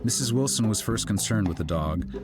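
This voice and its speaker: A man's voice: lowish voice, expressive, brisk. A man reading literary fiction aloud as an audiobook narrator, in a scripted storytelling style. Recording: music behind the voice. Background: background music.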